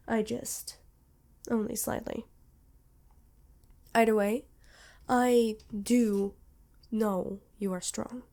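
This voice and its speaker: Mellow, motherly vampire queen, acted by a woman. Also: fairly high pitch, fairly even intonation. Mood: teasing, warm. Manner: formal, measured, tender speech with pauses. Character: centuries-old vampire queen, motherly, regal, protective and worried. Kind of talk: ASMR roleplay monologue.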